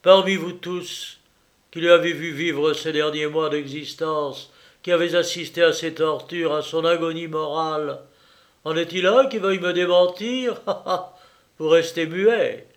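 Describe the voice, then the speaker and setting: A man reading as a feverish young man in a storybook, bitter and mocking, his voice rising sharply on the taunts. He speaks in a slightly high register and at an unhurried pace, and the delivery stays vehement throughout. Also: steady tone. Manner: vehement, sardonic.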